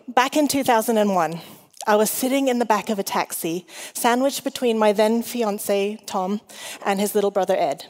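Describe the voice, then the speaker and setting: A woman's voice: mid-range voice, darkish tone. Woman preaching in a long-reverb hall. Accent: mixed international, shifting. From Hong Kong. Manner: chatty, self-deprecating humour. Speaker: guest preacher. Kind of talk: conversational sermon to a congregation.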